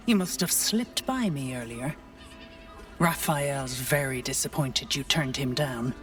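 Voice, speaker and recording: Brash devil's assistant, lively intonation. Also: female voice, fairly low pitch, distant mic, full character voice. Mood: brash, familiar. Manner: brash, familiar, persuasive. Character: mortal woman assistant and spy for a devil, pleading. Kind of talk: video game character acting.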